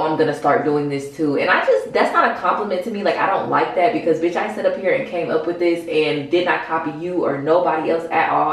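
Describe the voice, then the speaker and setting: A woman in her twenties with an American accent, giving casual, frank, slangy advice to camera in a vlog. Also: low voice. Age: 20s. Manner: informal, slangy, frank, sprinkled with swearing. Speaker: vlogger, small business owner. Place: bathroom, indoors. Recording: very echoey small tiled room.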